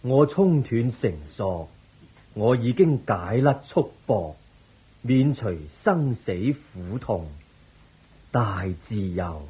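The Buddha as a serene, gentle teacher who speaks very slowly and calmly. His voice is low, mellow and very rough in texture, set in an old radio play. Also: heavily acted, low-quality recording. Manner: slow, calm and serene. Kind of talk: 1980s Cantonese radio drama.

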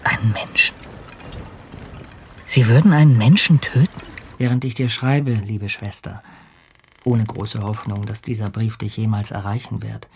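An actor playing an earnest, ambitious polar explorer and ship's captain in a radio drama, speaking and narrating in a heartfelt, literary way. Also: male voice, medium pitch, slight background noise, natural voice. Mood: concerned.

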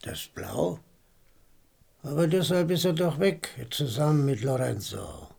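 An actor playing a bitter old father grumbling about his son in a German radio play, with a creaky, gravelly voice. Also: fairly high pitch, animated, measured pace, darkish tone, full character voice. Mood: confiding.

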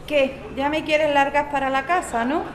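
A woman's voice: high voice, steady tone, normal speed, natural voice. A woman playing a stubborn, wry older mother in an audio drama. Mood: indignant, teasing. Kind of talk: scripted amateur radio drama. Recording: echoey, noisy crowded room. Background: crowd chatter, ambient noise.

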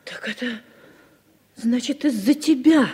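A woman's voice: high-pitched, unhurried, a little mellow, breathy edge, exaggerated voice. An actress playing a watchful woman who tells her story in dramatic scripted style in a Soviet radio play. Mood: suspicious. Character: watchful woman narrating her memories, questioning her partner. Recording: vintage radio play with music.

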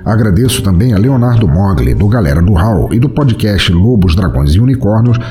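A man narrating a scripted fantasy story as podcast host, with a dramatic, expressive delivery. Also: lowish voice, brisk, soft background music.

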